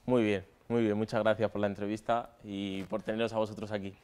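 Young male footballer, twenty, Madrid accent, smooth voice with fairly flat intonation, chatting in an interview. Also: fairly low pitch, brisk. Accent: Madrid Spanish. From Spain, Madrid area. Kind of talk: casual interview answers. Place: stadium dugout with plastic seats.

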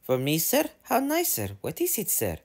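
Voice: medium pitch, wildly swooping pitch, normal speed, husky, voice pushed up, exaggerated voice. A narrator voicing Peter Sam, an eager, polite young male engine who chats on and on. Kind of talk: storybook reading.

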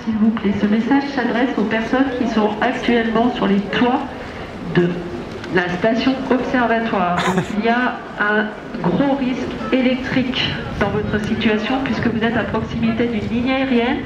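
A formal, measured safety announcement over a station loudspeaker. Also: medium pitch, noisy background. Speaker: transit announcer. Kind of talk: public address announcement. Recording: dull loudspeaker in echoey street.

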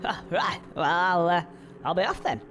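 A man's voice: high voice, expressive, moderate pace, fairly bright tone, slight background noise. A man voicing a naive, bemused young male squirrel in a video game, hesitant and polite with a touch of cheek. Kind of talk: video game cutscene dialogue.

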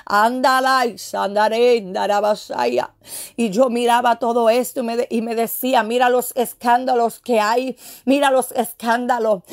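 A woman preacher with a fairly high, creaky voice delivers a prophetic exhortation. She speaks fervently, repeating her phrases with insistence as she moves between warning and prayer. The sound is dry and close. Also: a little mellow, no room sound.

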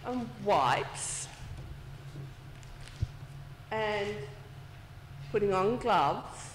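A speaker tells a story to children in a relaxed, conversational way, taking their time. The voice is heard from some distance, with a long reverb tail and faint background noise. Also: medium pitch, drawn-out words. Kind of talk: children's talk. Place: church. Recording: distant, reverberant church hall sound.

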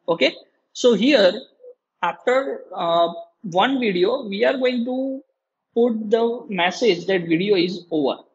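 A male lecturer walks through a tutorial in a halting, repetitive way. His voice sits high and sounds husky. The recording is dull and lo-fi. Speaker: programming lecturer. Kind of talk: screen-recorded tutorial explanation.

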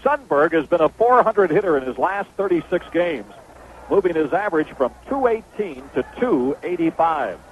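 A man's voice: a little high, very animated, normal speed. American guy doing 70s radio baseball play-by-play, bit of noise, muffled old broadcast. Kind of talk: live 1970s radio baseball commentary.

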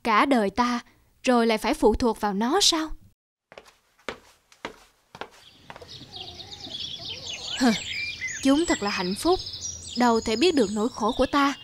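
A woman voicing a bitter, despairing old grandfather in an animated drama, gloomy and resigned. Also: on the high side, fairly quick.

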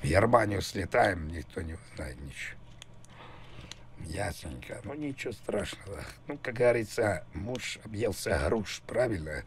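Low gravelly man, rambling and halting. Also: lively intonation, slight background noise. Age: 50s. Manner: rambling, halting, innuendo-laden, insistent. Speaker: prank caller. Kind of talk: casual phone prank conversation.

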